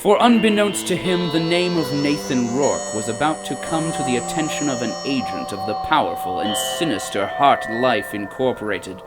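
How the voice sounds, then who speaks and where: An actor playing a grave, ominous narrator, giving measured, theatrical narration for a radio serial. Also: male voice, mid-range voice, expressive, lightly acted. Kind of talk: scripted dramatic narration. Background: music.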